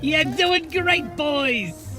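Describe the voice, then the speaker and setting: Swooping, streetwise goblin bartender. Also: male voice, very high-pitched, animated, drawn-out words, full character voice. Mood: proud showman. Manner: slangy, familiar, calling people kid. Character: wry streetwise goblin bartender, a small male fiend. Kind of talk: noir audio drama acting. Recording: music playing behind the voice. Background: music.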